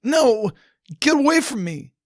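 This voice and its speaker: A man's voice: extremely high pitch, big pitch swings, a little slow, no room sound, clean studio sound, voice pushed up, exaggerated voice. A man voicing an anguished, guilt-ridden man in an audiobook, screaming his self-blame in tearful outbursts. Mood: anguished rage. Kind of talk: dramatic audiobook character voice.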